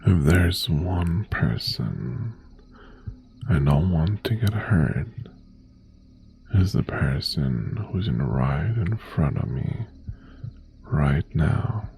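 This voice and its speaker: Casting: male actor as menacing, obsessive crime-boss ex-lover for ASMR roleplay; low-pitched, heavily creaky half-whisper, possessive and tender. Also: very animated, exaggerated voice. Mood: quiet menace. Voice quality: gravelly, breathy half-whisper. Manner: slow, deliberate, softly threatening. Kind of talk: boyfriend ASMR roleplay, intimate monologue.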